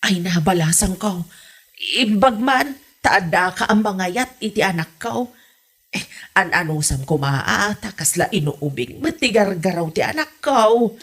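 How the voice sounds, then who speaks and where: Woman acting fond village mother, fretful, Ilocano accent, raspy voice, lively intonation, comedy radio drama. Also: medium pitch, a little slow, exaggerated voice. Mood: relieved, coaxing.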